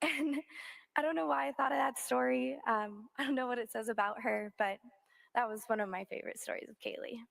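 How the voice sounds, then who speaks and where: Big sister at memorial, loud voice, kinda high, telling a story and laughing. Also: female voice, brisk, vocal fry. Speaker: older sister speaking at a memorial.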